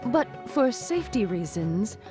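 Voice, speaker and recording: A woman's voice: on the high side, very animated, a little slow. A woman voicing a polite, formal academy clerk. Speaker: game character. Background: game music.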